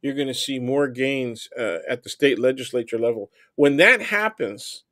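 A man's voice: medium pitch, lively intonation, moderate pace, bright, forceful tone, no room sound. Blunt older American man, dry close sound. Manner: blunt, salty, jokey. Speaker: talk show guest. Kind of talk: casual banter and commentary.

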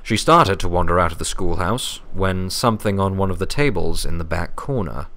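A man's voice: sits low, expressive, moderate pace, fairly bright tone. A man reading a story aloud as narrator, in scripted narration. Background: music.

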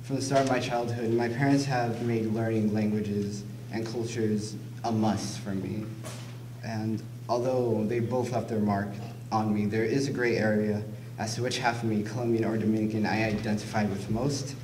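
A man's voice: lowish voice, level tone, normal speed. A young man giving a prepared introduction as a student speaker at an auditorium podium. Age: young adult. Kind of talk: prepared speech. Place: indoor auditorium stage. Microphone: podium microphone. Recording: echoey hall, distant mic, background noise.

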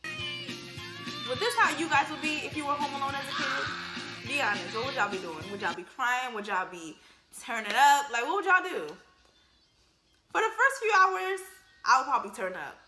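A woman's voice: high-pitched, very animated, moderate pace, pauses often, bright, forceful tone, roomy sound, soft background music. A woman in her twenties giving casual, laughing commentary on a film, full of slangy asides, speaking into a wired earphone mic in a bare room. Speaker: YouTuber reacting to a film.

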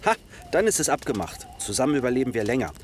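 A man's voice: medium pitch, sing-song, fast, a little hiss or hum, close to an everyday voice. An actor playing an astonished, welcoming male survivor in a German zombie audio drama. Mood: friendly, inviting.

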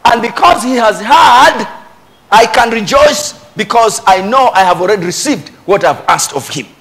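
A middle-aged Kenyan man preaches from a church stage in a high-pitched voice. His delivery is emphatic, repeating key phrases to drive them home. He speaks with a Kenyan accent into a handheld microphone. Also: lively intonation, normal speed, fairly bright tone. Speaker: pastor. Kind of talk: animated church sermon with Swahili asides. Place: church stage before a congregation.

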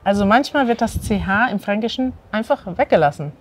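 A woman in her thirties from northern Germany, speaking standard German as host of a language lesson, clear and animated as she prompts her guest to repeat words, recorded outdoors. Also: mid-range voice, a little fast, slight background noise. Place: outdoors in a courtyard by an ivy-covered wall.

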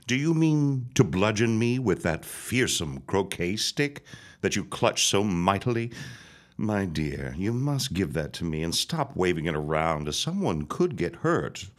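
Slow, deep-voiced menacing preacher. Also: male voice, big pitch swings. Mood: mocking, threatening. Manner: slow, grandiose, preacherly threatening. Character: menacing, taunting, self-righteous early-1900s reverend. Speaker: actor in an audio drama. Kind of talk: period audio drama acting.

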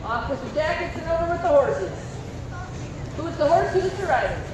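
A woman's voice: high voice, big pitch swings, normal speed, far from the mic. A woman announcing a fair contest, giving upbeat play-by-play and countdowns from a covered arena. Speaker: event announcer. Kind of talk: live event commentary. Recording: echoey PA sound from afar, crowd noise.

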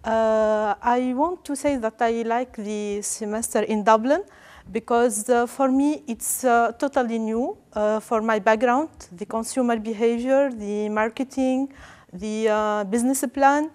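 A young woman gives a student testimonial in a slightly high voice, pausing often with fillers. She speaks into a clip-on lapel mic in a classroom. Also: steady tone, a little mellow. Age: young adult. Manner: hesitant, many fillers. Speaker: master's student. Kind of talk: testimonial interview. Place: classroom with banner behind.

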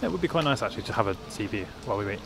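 A man's voice: on the low side, lively intonation, rapid-fire, creaky. A man vlogging in casual chat while walking outdoors along a busy street, recorded on a handheld camera. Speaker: travel vlogger. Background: loud street ambience and traffic.

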